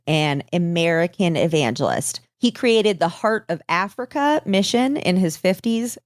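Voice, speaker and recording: A woman's voice: mid-range voice, dry recording, clean studio sound. A woman co-hosting a podcast, telling a story in a casual, irreverent, sarcastic way and swearing freely. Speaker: podcast co-host.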